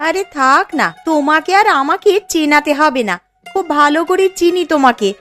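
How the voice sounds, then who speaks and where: Woman doing a high-voiced nagging wife, cartoon, music behind. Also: moderate pace, a bit bright. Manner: fussing, scolding wife. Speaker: fisherman's wife character. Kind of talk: cartoon dialogue.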